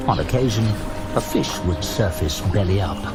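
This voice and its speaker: A man narrating a fantasy audio drama in a measured, deliberate, dramatic storytelling style. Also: sits low, lively intonation, loud music behind the voice.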